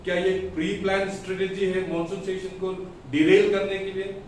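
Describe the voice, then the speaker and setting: A man delivers a political press statement as a party spokesperson, emphatically and deliberately in short phrases. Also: high voice, level tone. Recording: echoey room, distant mic, faint noise.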